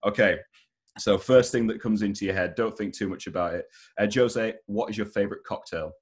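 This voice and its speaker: A man's voice: lowish voice, a little fast. A man in his twenties doing a casual interview over a video call, with informal, hesitant phrasing. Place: home room, video call. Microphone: headset on video call.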